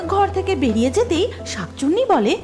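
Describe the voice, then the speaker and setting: Casting: female narrator for a cartoon tale, high and lively intonation, music bed behind. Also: normal speed. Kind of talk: scripted cartoon story narration.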